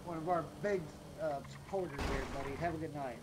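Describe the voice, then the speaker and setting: A man in a casual gaming session, calling out to teammates and exclaiming often in an excitable way. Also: on the high side, a little fast. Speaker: gamer on a group live stream. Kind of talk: casual gaming chat and callouts. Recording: noisy, with game gunfire and vehicles.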